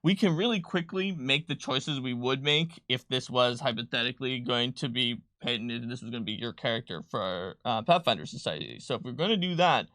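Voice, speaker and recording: Male game designer on a livestream, crisp bright tone, dry sound, casual and explanatory, working things out aloud. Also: medium pitch, lively intonation, fairly quick, few pauses, no echo. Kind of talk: casual tabletop game talk, thinking aloud while filling in a character sheet.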